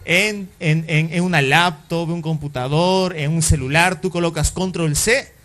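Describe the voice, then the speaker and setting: A man's voice: a little high, steady tone, a little slow, a bit bright. A man preaching a sermon as pastor, talking conversationally and often putting rhetorical questions to the congregation, with fillers and self-corrections along the way.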